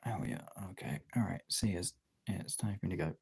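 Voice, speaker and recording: Creaky-voiced streamer thinking aloud. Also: low voice, animated, fast, darkish tone. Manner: thinking aloud in short, informal, sweary remarks. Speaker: streamer making art. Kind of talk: casual chat while working, muttered self-talk. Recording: clearly noisy, with clicks.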